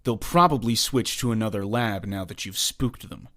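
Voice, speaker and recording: A man's voice: fairly low pitch, moderate pace, sharp tone. A man playing a confident, commanding young costumed vigilante in a comic dub, cool, assured and condescending. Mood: calm, commanding. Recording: faint music behind the voice.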